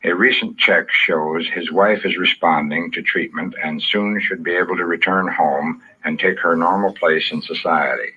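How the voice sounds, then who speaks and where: Very deep, raspy, solemn police chief. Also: male voice, steady tone, bright, forceful tone. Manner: solemn, measured. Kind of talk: scripted, formal 1950s radio commentary. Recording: dull old radio recording.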